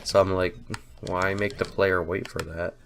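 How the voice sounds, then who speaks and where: A young man with a cold, sounding nasal and a little hoarse, rambling casually through a voice-over of his own game with self-deprecating asides. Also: low voice, little pitch movement, unhurried, background noise. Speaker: indie game developer. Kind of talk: casual gameplay voice-over commentary.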